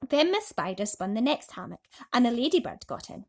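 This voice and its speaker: A woman narrating a bedtime story for children as an expressive storyteller. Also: on the high side, fairly quick.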